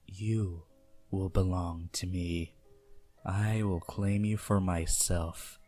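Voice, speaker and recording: Male actor as seductive vampire prince, very deep, unhurried, intimate, menacing, possessive, ASMR roleplay. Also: steady tone, warm tone, soft background music. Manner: slow, teasing, menacing, intimate delivery.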